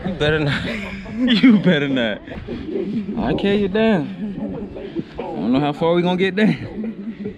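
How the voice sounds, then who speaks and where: A man's voice: high-pitched, animated, unhurried, long pauses, a crowd in the background. A young man vlogging while hiking up a rocky mountain outdoors, playful and teasing, laughing often, on an action camera. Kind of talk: casual hiking vlog chat.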